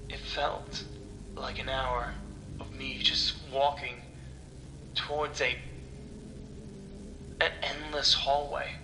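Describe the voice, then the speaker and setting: Slow, echoing male horror storyteller. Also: mid-range voice, little pitch movement, noisy background. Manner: halting delivery with pauses, sighs and breathy asides. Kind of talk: dramatic first-person horror story narration. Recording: echoey sound with music underneath. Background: music.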